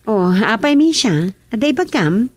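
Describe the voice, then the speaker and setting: Eager, high-pitched young villager. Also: female voice, big pitch swings, measured pace, no room sound. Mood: curious. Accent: Filipino, Ilocano. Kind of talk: radio comedy drama acting.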